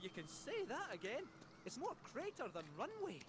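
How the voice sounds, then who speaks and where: A man's voice: very high-pitched, huge pitch swings, normal speed, background noise, exaggerated voice. An actor playing a cheeky male talking cat in a video game, teasing and chirpy. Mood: chatty.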